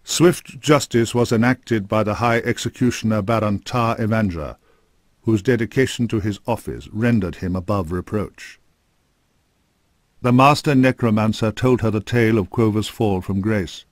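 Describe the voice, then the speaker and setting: A man with a fairly low voice delivers scripted fantasy narration. His storytelling is grave and measured, as for a dark video game saga. The sound is dry and close, free of room echo.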